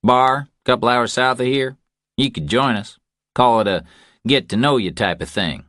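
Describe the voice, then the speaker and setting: A man's voice: mid-range voice, normal speed, a bit bright, dry recording, studio quality, voice pushed up, close to an everyday voice. A man voicing a cocky, wiry ranch hand who needles a newcomer in blunt, folksy, clipped phrases for an audiobook. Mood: sly, dry. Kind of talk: audiobook character dialogue.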